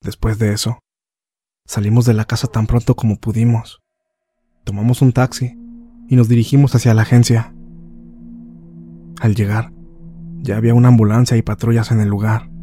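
Un hombre que interpreta a un hijo que relata con sobriedad una historia de terror en primera persona. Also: male voice, lowish voice, flat delivery, moderate pace, pauses often, dark tone, close to an everyday voice. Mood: sober, recounting. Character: son soberly recounting his father's frightening ordeal. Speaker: narrator. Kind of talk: first-person horror story narration. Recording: music behind the voice.

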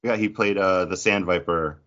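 A man's voice: deep voice, steady tone, normal speed, a bit bright, lo-fi sound. A man co-hosting a podcast, chatting casually about geek culture in a joking, teasing way. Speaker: podcast co-host.

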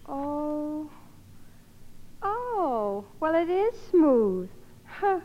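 An actress playing a shy, naive college-age daughter in a 1950s radio comedy, speaking demurely and hesitantly. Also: female voice, high-pitched, sing-song, extremely slow, exaggerated voice. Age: young adult. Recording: muffled 1950 radio broadcast.

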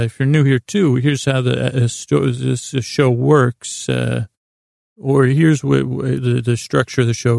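A man with a heavily gravelly, fry-laden voice rambles through a bedtime podcast monologue. His delivery stays flat and low-key, full of stammers and meandering restarts. The sound is close and dry. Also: no echo. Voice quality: gravelly, creaky. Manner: meandering, halting, stammering with repeated false starts; drowsy, droning, low-key. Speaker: podcast host.

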